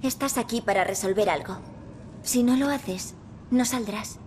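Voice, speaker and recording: A woman's voice: a little high, a little slow, darkish tone, slight background noise, natural voice. Woman playing a cryptic ghost guide, faint ambience. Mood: calm, instructive. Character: cryptic, stern female ghost guide, calm and knowing. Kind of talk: video game cutscene acting in Spanish dub.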